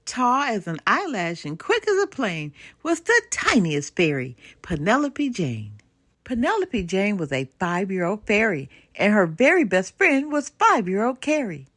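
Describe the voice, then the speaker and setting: An older woman reading a children's storybook aloud in an expressive, sing-song way. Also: medium pitch, no echo, studio quality. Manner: animated, unhurried sing-song rhyming delivery. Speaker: storybook reader.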